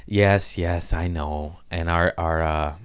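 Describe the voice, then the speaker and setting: A man with a low-pitched voice hosts a loose comedy podcast. He chats casually and jokily, with plenty of slang and swearing. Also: steady tone, takes it slowly, clean tone. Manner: slangy, profane, jokey. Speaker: podcast host.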